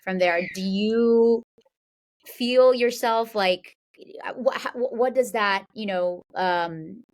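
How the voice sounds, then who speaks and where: A woman's voice: mid-range voice, slow, no echo. A woman hosting a podcast, interviewing casually and affirmingly, feeling her way into each question. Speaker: podcast host.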